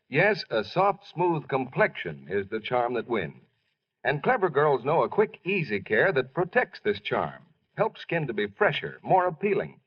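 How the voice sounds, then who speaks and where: A husky-voiced man announcing and reading scripted advertising on 1940s radio, in an old recording. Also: medium pitch, highs cut off.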